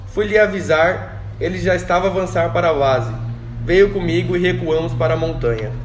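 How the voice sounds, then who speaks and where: A man's voice: high voice, fairly quick, close to an everyday voice. A man playing an exhausted, alarmed guerrilla fighter reporting in short phrases in an amateur radio drama. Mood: shaken, reporting. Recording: echoey room with faint noise.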